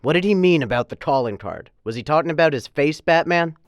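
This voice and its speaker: A man's voice: on the high side, animated, brisk, no room sound. An actor playing a weary, anxious male police chief in a radio drama, speaking earnestly.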